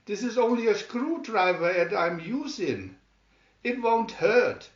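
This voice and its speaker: A man putting on a high-pitched folksy cartoon sailor. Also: sing-song, slow pace, roomy sound, muffled recording, exaggerated voice. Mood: reassuring. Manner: folksy mangled grammar, emphatic. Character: gruff good-natured cartoon sailor man, folksy and plucky.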